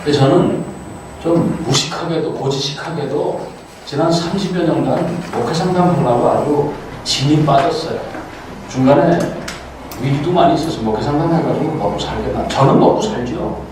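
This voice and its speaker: A man's voice: on the high side, normal speed, background noise. An older man giving a casual, joking guest lecture, chatty and self-deprecating. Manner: chatty, self-deprecating, quotes others in playful voices. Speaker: guest lecturer, counseling professor. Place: large auditorium. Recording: echoey hall, voice from far off.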